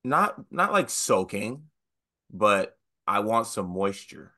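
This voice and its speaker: A man's voice: fairly low pitch, big pitch swings, slow pace, pushed and bright. A man co-hosting a casual sports podcast, stuffed up with the sniffles, sounding nasal. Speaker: podcast co-host.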